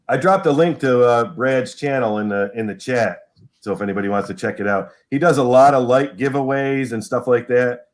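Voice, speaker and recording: A man's voice: mid-range voice, normal speed. A man in his fifties hosting a casual live stream chat and recommending a guest's channel. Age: 50s. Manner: friendly, promoting others. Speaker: host.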